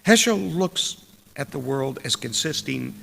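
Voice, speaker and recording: A middle-aged man delivering a sermon in a deliberate, measured way with dramatic pauses and a gravelly, husky voice. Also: mid-range voice, lively intonation, heavy background noise. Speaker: preacher reading a sermon. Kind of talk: sermon with read quotations. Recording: long echoing hall reverb.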